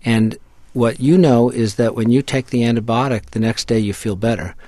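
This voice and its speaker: A scientist being interviewed who explains in a measured way, often repeating words as he thinks. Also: mid-range voice, fairly even intonation, dark tone, dry recording. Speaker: scientist guest. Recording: dry close-mic studio sound.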